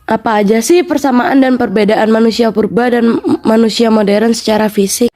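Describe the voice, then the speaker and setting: A young Indonesian-accented woman co-hosts a student podcast with scripted lessons. Her voice is slightly high yet soft and rounded in tone. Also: fairly even intonation, moderate pace.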